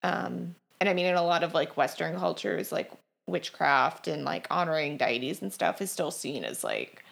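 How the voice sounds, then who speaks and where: A woman hosting a casual podcast conversation, with a lowish voice that has a gravelly fry. Her pitch swings widely as she talks, and she is blunt and quick to swear. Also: sing-song, fairly bright tone. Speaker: podcast host.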